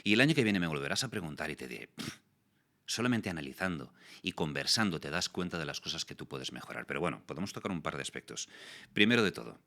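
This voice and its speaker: A man in his forties, a guest in an interview, explaining things in a lively way and acting out the people in his stories. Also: very deep voice, fast, vocal fry. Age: 40s. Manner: explanatory, animated storytelling with voiced quotes. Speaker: guest, voice actor and communication coach. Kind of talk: casual interview talk.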